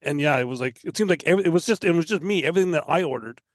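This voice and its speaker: A Canadian man tells casual anecdotes as a podcast guest. His voice is fairly high and he talks extremely fast. The recording is very dry. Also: animated, no room sound.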